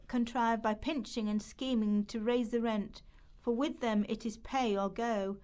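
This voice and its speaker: Woman, fairly high voice, scripted dramatic reading, Victorian social prose, radio rehearsal. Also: a little mellow. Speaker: reader of quoted historical text.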